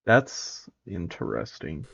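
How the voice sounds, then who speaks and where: A man's voice: deep voice, big pitch swings, slow pace, vocal fry, fairly bright tone, lo-fi sound, slight background noise. A man giving casual reaction commentary in a musing, wry manner. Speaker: reaction video host.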